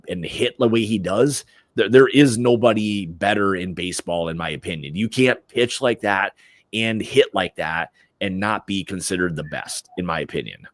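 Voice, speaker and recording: A man's voice: on the low side, no room sound, clean studio sound. An American man hosting a casual sports prediction livestream, talking informally in slangy, opinionated fashion.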